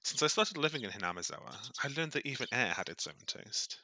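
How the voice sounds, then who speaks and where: A man reading a visual novel aloud on a stream as dramatic, expressive narration, in a theatrical storytelling way. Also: medium pitch, fast talker, soft background music.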